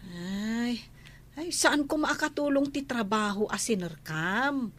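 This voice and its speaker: High, slow-talking chatty maid. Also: female voice, lively intonation, a bit bright, full character voice. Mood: chatty, admiring. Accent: Ilocano. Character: chatty, friendly senior housemaid, easily cowed. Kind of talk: radio drama acting.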